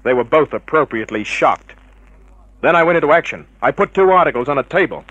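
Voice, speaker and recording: An actor playing a tough, cynical private investigator in a 1950s radio crime drama, clipped and hard-boiled. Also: male voice, on the high side, animated, a little fast, fairly bright tone, dry recording, lo-fi sound. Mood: matter-of-fact. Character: tough, cynical male private investigator narrating his case.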